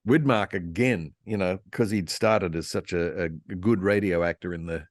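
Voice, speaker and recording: A man giving detailed, halting but enthusiastic anecdotes as a guest historian in a panel discussion. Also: deep voice, no echo, clean studio sound. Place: online convention video call.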